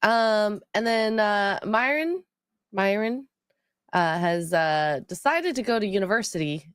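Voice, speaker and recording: A woman's voice: mid-range voice, lively intonation, unhurried, a bit bright, clean tone, no room sound. Woman with a cold hosting a stream, nasal, lots of ums, dry close sound. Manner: rambling with many ums and uhs. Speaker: stream co-host. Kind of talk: casual livestream chat, reading donations.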